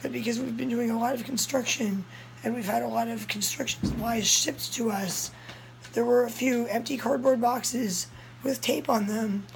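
A young woman, hoarse and a little strained, talking straight into a phone held close. Her voice sits fairly high, and a faint hum runs underneath. She tells her story in a rush, urgent and breathless. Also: steady tone, moderate pace, rough recording. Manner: breathless, urgent retelling of a scare. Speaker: cat vlogger. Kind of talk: casual vlog storytelling. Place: small red-walled room indoors. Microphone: phone camera held close, low angle.

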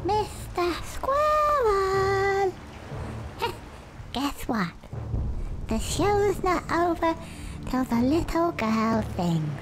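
A man's voice: very high voice, big pitch swings, extremely slow, pauses often, background noise, heavily acted. A man voicing a gloating male mad scientist villain in a video game, theatrical and prone to panicky outbursts. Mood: taunting. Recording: game audio over loud music.

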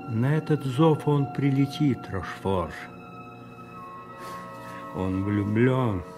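An actor playing a cold, calculating cardinal and spymaster in a radio play, deliberate and commanding, with savouring pauses. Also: male voice, fairly low pitch.

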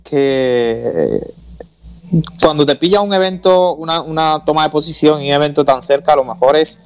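A man's voice: a little high, normal speed, smooth. A man on a sports talk radio show, weighing in with frequent repeats and restarts. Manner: hesitant, repeats words, tags with "¿no?".

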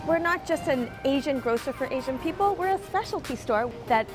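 A woman's voice: sits high, lively intonation, fairly quick, music in the background. A woman in her forties, a grocery chain executive, speaking earnestly and animatedly in a TV news interview inside a store.

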